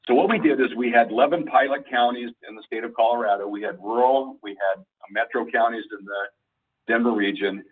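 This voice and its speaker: A man giving an informal slide presentation over a conference call line, explaining things in a halting, deliberate way. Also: mid-range voice, breathy edge, distant mic, phone-quality sound. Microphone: conference call phone line.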